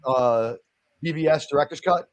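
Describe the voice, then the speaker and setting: A man with a very loud voice giving emphatic opinions in a casual stream chat. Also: fairly high pitch, moderate pace, dry recording.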